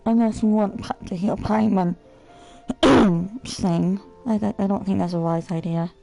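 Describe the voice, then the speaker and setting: A woman's voice: medium pitch, fairly even intonation, a little slow, creaky, dark tone, faint music behind. A woman game streamer giving casual livestream commentary.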